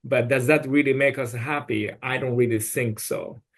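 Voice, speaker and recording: Brief: male podcast guest, phrasing, sincere and advice-giving, at a middle pitch. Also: steady tone, normal speed. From Japan. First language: Japanese. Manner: earnest, advice-giving, with non-native phrasing. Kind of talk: podcast conversation.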